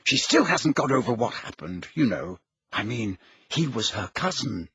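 A man's voice: medium pitch, very animated, moderate pace, a bit bright, husky, no echo, lo-fi sound, full character voice. A man voicing an earnest, indignant, clever teenage girl who explains insistently in an audiobook story.

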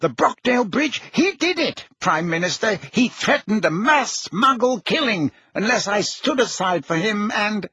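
Guy doing a flustered older wizard politician for an audiobook, defensive n agitated, raspy, swoops up and down. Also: sits high, sing-song, measured pace, a bit bright, no echo, clean studio sound, pitched above the performer's own voice, full character voice. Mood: urgent. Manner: agitated, urgent, self-justifying. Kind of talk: audiobook character acting.

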